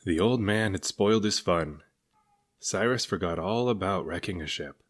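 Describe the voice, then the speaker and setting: A man reading a children's story aloud as narrator, in an expressive manner. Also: low-pitched, moderate pace. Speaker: storybook reader.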